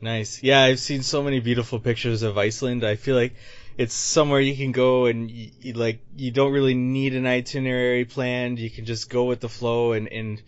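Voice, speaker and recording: A man's voice: medium pitch, level tone, fairly quick, smooth, dry recording. A man hosting a casual interview, asking questions and dropping short friendly affirmations. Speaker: host. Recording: dry, dull lo-fi recording.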